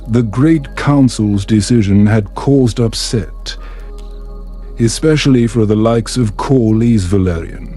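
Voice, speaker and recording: A man reading a scripted bedtime story as a narrator, in a calm, measured, soothing way. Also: medium pitch.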